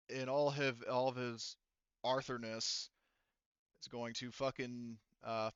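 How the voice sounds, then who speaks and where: Man, game master, clear smooth voice, casual rambling narration, informal, dry slightly muffled mic. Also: medium pitch, a bit bright, dry recording.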